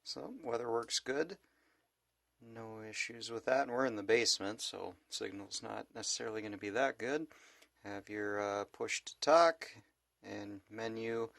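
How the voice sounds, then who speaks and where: A man's voice: lowish voice, a little slow, gravelly, fairly bright tone, no room sound. A man giving a casual, laid-back product review in a plain-spoken way. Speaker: gadget reviewer and vlogger.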